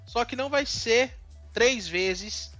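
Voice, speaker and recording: A man's voice: very high voice, takes it slowly, sharp tone, dry recording, dull audio, soft background music. A man from Northeast Brazil, co-hosting a casual commentary chat and airing strong opinions with frequent restarts. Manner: talkative, many restarts, indignant opinions. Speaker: co-host.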